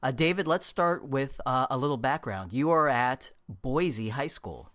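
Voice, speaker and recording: A man hosts an interview podcast, asking his guests questions with upbeat curiosity and laughing often. His voice sits at a middle pitch, and it is recorded dry with hardly any room sound. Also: animated, unhurried, a bit bright, dry recording. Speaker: podcast host. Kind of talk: interview hosting.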